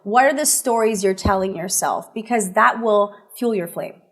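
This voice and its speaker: A woman's voice: mid-range voice, moderate pace. A woman guest in an interview talking earnestly and emphatically, posing rhetorical questions as she shares motivational ideas.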